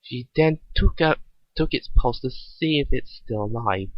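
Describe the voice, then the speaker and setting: A man's voice: medium pitch, a little slow, no echo, dull audio. A man giving an amateur dramatic reading of written fiction, often stumbling and repeating words. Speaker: amateur reader of a fan story.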